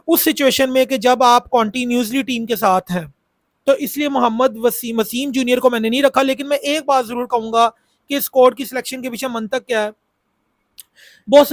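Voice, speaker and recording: A man's voice: extremely high pitch, dry recording. A man with a sore throat, chatting about cricket in a casual, repetitive way with many fillers. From Pakistan. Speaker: cricket YouTuber. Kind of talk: casual cricket analysis talk.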